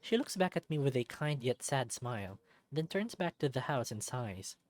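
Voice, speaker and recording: A woman's voice: rumbling low, very animated, moderate pace, a little mellow, no room sound. A woman reading a visual novel aloud as a let's-play narrator, with casual asides. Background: music.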